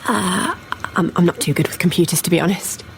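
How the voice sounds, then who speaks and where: Lowish-voiced, put-upon museum education officer. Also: female voice, a little fast, gravelly, a little hiss or hum. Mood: flustered. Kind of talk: radio sitcom acting.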